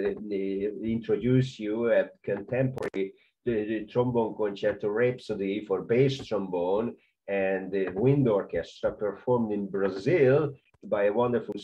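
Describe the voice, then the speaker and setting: A middle-aged man with a Hungarian accent, a conductor giving an informal music history lecture from his home office, enthusiastic, with halting non-native phrasing. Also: fairly low pitch. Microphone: webcam at desk. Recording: dull lo-fi webcam audio.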